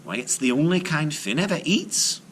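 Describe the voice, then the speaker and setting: Man putting on a shrewd, high-pitched wife. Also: sing-song, normal speed, a bit bright, a little hiss or hum. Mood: sly. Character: shrewd, bold giant's wife, calm and teasing.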